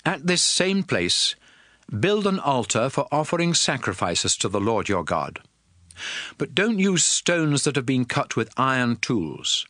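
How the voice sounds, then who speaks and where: A man's voice: mid-range voice, bright, forceful tone, no room sound, clean studio sound. A man playing a commanding prophet and lawgiver in a dramatized scripture reading, solemn, emphatic and declamatory. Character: Moses, commanding male prophet and lawgiver addressing his people.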